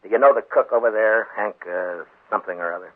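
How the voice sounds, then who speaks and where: Guy acting a firm old west lawman, american, low husky voice, terse and dry, tinny 50s radio sound. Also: quick pace, dry recording, natural voice. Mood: calm, scheming. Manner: dry, laconic, commanding. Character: firm, shrewd frontier marshal in the American West. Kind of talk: 1950s radio western acting. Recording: 1950s radio broadcast, narrow tinny sound.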